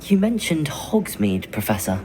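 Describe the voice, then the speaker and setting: A man's voice: on the high side, big pitch swings, a little slow, background noise. A male actor playing a polite, curious teenage student of wizardry in a video game. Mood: polite, inquisitive. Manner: polite, inquisitive. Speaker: student, player character. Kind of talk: scripted video game dialogue.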